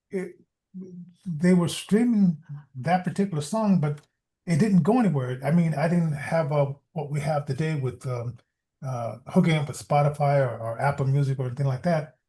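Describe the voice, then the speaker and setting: Older-ish guy hosting an online show, kinda high voice, rambles, repeats himself, chuckly. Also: mellow. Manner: halting, repeats words, chuckles often. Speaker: online radio show host. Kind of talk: casual rambling livestream talk.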